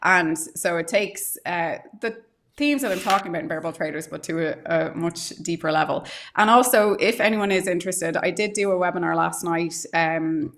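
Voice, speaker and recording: A woman's voice: on the low side, normal speed, a bit bright. A woman coaching traders on mindset, explaining her ideas step by step and thoughtfully, with frequent fillers, over a remote call. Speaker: trading psychology coach. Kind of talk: live-stream advice talk.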